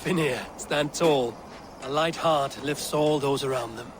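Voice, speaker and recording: An actor playing a loyal, commanding Viking war leader in a video game, measured in manner. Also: male voice, medium pitch, close to an everyday voice. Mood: steady, rallying. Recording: noisy outdoor ambience behind.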